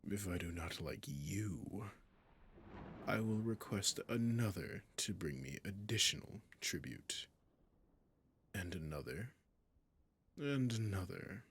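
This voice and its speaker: A man playing a haughty, possessive dragon king in a roleplay audio drama, speaking in slow, deliberate, formal phrases with a breathy, husky voice that often drops near a whisper. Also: sits low, animated, fairly bright tone, a little hiss or hum, full character voice. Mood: cold, threatening. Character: imperious, possessive male dragon king, menacing and seductive.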